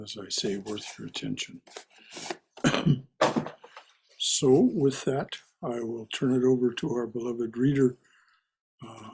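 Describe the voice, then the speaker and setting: A man leading a spiritual class, speaking in a hesitant, halting way with many fillers. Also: mid-range voice, a little hiss or hum, poor audio. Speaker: class leader. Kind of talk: introducing a class reading.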